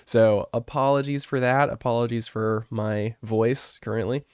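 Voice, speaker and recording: A man getting over a cold, with a rough, congested voice, chatting casually and rambling with many fillers as an artist streaming to his viewers. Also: mid-range voice, fairly even intonation, moderate pace, no room sound, studio quality. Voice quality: rough, wrecked voice. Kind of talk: casual live-stream chat.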